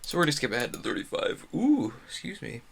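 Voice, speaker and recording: A man's voice: a little high, huge pitch swings, quick pace, vocal fry, slight background noise. A man streaming a game, giving offhand running commentary in casual chat. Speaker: streamer hosting a Minecraft stream.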